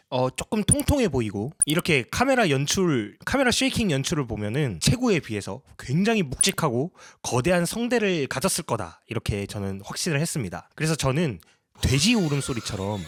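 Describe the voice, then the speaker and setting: A man's voice: medium pitch, dry recording, clean studio sound. A man narrating a tutorial as a sound designer, explaining his process in a polite way.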